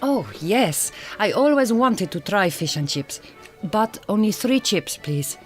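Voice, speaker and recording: A woman's voice: medium pitch, very animated, takes it slowly, soft background music. An actress playing a Hungarian immigrant woman, a tender but prim mother, in a radio drama with precise, formal phrasing and a Hungarian accent. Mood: hesitant, proper. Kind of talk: radio drama acting, intimate monologue and dialogue.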